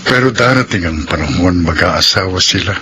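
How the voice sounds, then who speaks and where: An actor with a Filipino accent playing an earnest, persistent middle-aged suitor in a radio drama, gently persuasive. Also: male voice, low voice, expressive, normal speed. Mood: earnest, wooing. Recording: noisy radio broadcast.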